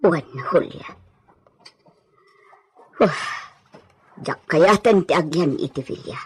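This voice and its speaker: Husky, resentful village gossip played by a woman. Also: deep voice, takes it slowly, exaggerated voice. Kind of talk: Ilocano audio drama acting.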